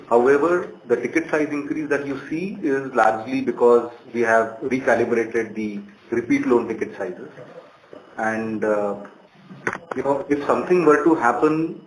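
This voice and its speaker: Halting Indian man in a roomy space. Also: medium pitch. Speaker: bank executive answering analyst questions. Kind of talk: earnings call answers, explanatory. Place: conference call from a room. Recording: roomy conference call, faint noise.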